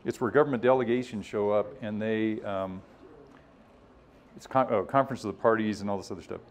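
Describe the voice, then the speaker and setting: A man's voice: fairly low pitch, steady tone, brisk, slight background noise. Man giving an informal technical talk. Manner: conversational, explanatory, acts out others' positions in imagined quotes. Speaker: presenter at a scientific workshop.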